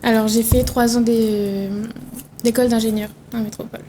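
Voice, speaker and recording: A woman's voice: on the high side, level tone, normal speed, warm tone, a little hiss or hum. A young woman from Réunion, a musician guest answering interview questions thoughtfully, with fillers and restarts. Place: TV studio.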